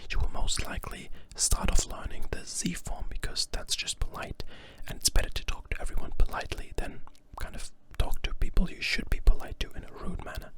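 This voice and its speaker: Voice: fairly quick, hardly any pauses. A young adult from Germany, giving a whispered ASMR-style language lesson in an informal, rambling way with careful repetition of words. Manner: informal, rambling explanations with careful repeated pronunciation. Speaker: language teacher.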